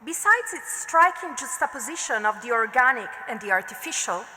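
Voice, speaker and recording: A woman with an Italian accent, a non-native speaker who is an art history researcher, reads a prepared academic lecture at a conference in a measured, deliberate way. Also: fairly high pitch, expressive, bright, forceful tone. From Italy. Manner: measured, deliberate delivery with occasional slips and fillers. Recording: long reverb of a lecture hall.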